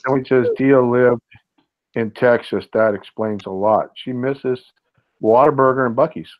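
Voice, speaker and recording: A man reads viewers' comments aloud on a casual livestream and teases the people around him. His voice sits at an ordinary pitch. The audio is muffled and lo-fi. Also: steady tone, unhurried. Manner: teasing, mock complaining. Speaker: co-host reading live chat. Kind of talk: reading chat comments aloud.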